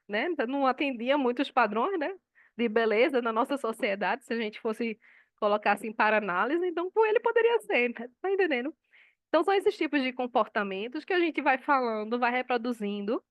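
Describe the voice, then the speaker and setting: A woman teaching a class, her voice sitting a little high. She keeps it conversational, asks her students questions and acts out little bits of quoted dialogue. The sound is dry and close to the mic. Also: dry recording. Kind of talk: live online class lecture.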